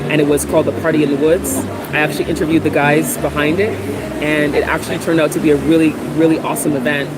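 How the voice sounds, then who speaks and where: A woman talking casually to camera as a vlogger. She has a cold and fills her speech with ums and restarts. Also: low voice. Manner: chatty, many ums and restarts. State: has a cold, occasional cough. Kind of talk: casual vlog talk to camera. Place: Ghana, with outdoor and traffic noise. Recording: loud background noise.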